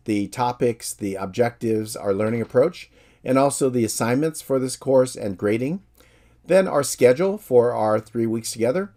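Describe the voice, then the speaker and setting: A middle-aged American man, a professor from San Francisco, giving a calm, friendly, measured course introduction. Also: mid-range voice. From San Francisco, USA.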